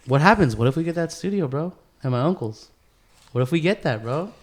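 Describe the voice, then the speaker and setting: A man's voice: on the high side, expressive, a little fast. Casual male podcaster, slangy and profane. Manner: profane, slangy, peppered with "bro" and "dude". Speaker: podcast co-host.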